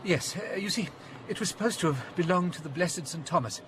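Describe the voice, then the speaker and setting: An actor playing a gentle, self-effacing English priest with an English accent, hesitant and apologetic, in a radio play. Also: male voice, high voice, brisk, background noise, natural voice. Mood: earnest. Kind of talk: radio drama acting.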